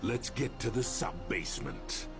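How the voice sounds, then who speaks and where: A low, slow supervillain gloats coldly over loud music in a video game. Every phrase is deliberate and menacing. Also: male voice, very animated. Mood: cold gloating.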